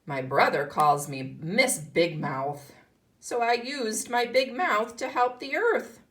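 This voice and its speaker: A woman's voice: fairly high pitch, animated, slow, fairly bright tone. A woman teaching kindergarten, reading a picture book aloud to young children and explaining as she goes. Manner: instructional, explaining and posing questions to children.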